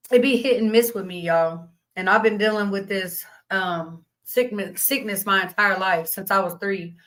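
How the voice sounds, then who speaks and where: A woman with a slightly raspy voice hosting a casual live stream, reading chat comments and talking bluntly, with plenty of profanity and laughter. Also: mid-range voice, a bit bright.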